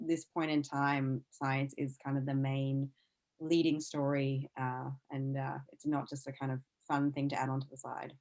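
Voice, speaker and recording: A low-voiced woman with an Australian accent talks casually as an interview guest. She is chatty and informal, breaking into her own sentences and starting again as she explains things. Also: little pitch movement, moderate pace, darkish tone. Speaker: interview guest, science news CEO. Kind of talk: casual conversational interview answers.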